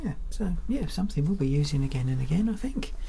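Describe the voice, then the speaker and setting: Low, mellow woman hosting. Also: expressive, fast, vocal fry, slight background noise. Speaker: podcast host. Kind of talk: casual product chat.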